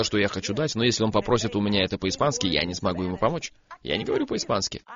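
A man's voice: mid-range voice, muffled recording. A young adult man, a guest preacher on a program, tells a personal story as part of a sermon and makes his points with emphatic repetition.

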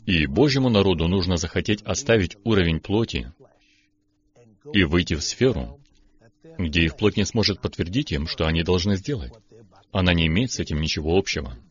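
A man's voice: sits low, lively intonation, fairly quick, dull audio. A man, a preacher and guest, who chats, shares a flying story and reads scripture. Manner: affirming, reads scripture aloud.

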